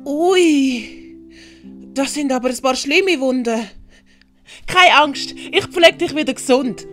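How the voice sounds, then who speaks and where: A woman playing a shy, kind-hearted little boy with a high voice and a Swiss German accent. He speaks slowly and hesitantly, with a polite, careful manner. Storybook music runs underneath. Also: fairly bright tone. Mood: gentle. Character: kind timid young boy.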